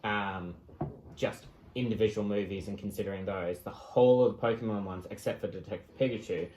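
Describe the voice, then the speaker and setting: A man's voice: lowish voice, steady tone, fairly quick, mellow. A man co-hosting a podcast, chatting casually. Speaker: podcast co-host. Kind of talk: casual chat. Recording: roomy, echoing voice pickup.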